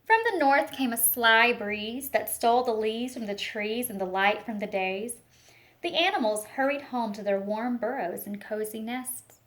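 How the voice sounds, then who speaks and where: A woman reading a picture book aloud to young children, expressive and speaking to them directly with teacher-like questions and asides. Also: fairly high pitch, a little slow. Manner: expressive storytelling, talks directly to young listeners.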